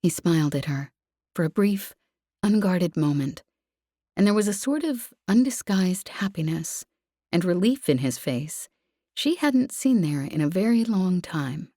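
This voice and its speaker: A woman's voice: fairly low pitch, expressive, unhurried, dark tone, no echo, clean studio sound. A woman narrating a novel as an audiobook reader, in a scripted storytelling style.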